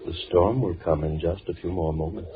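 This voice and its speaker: An actor playing a softly menacing, lonely madman in an old radio horror drama, speaking formally and precisely. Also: steady tone, background noise, close to an everyday voice. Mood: courteous, eerie. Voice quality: low-pitched. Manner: formal, measured, overly polite, precise diction. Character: softly menacing, lonely madman holding two women captive. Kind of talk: old-time American radio horror acting. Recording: muffled, thin vintage radio recording.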